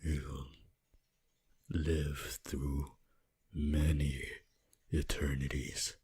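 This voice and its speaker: Very deep, whispery vampire lord. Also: male voice, little pitch movement, a little mellow, full character voice. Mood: darkly seductive. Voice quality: breathy, husky, often whispering. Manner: slow, theatrical, archaic phrasing. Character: possessive, seductive, menacing vampire lord. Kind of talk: breathy whispered ASMR roleplay.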